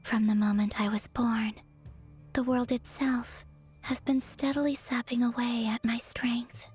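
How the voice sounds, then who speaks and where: Whispery tired game goddess, higher voice, slow and resigned, super airy. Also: female voice, flat delivery, a little mellow, faint music behind. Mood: weary, melancholy. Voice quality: breathy, whispery. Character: weary, fading female moon goddess, melancholy and aloof. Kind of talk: video game voice acting.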